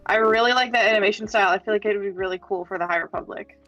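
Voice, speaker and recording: A woman's voice: mid-range voice, rapid-fire, lo-fi sound, over music. A woman chatting casually as a panelist in a fan discussion. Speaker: podcast panelist.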